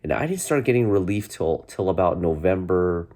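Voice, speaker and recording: A man's voice: animated, normal speed, mellow. A man in his forties, congested from a cold, chatting casually in a rambling way to his viewers from his bedroom. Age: 40s. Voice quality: deep voice. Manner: rambling, many restarts and fillers. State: congested, getting over a cold. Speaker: vlogger. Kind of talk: casual chat to camera. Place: bedroom, indoors.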